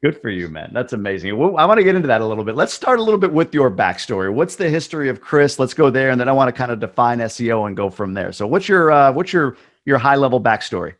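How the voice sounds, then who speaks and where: Brief: male podcast host, enthusiastic, loose rambling questions, average pitch. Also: sing-song, fast talker, few pauses. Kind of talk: interview hosting.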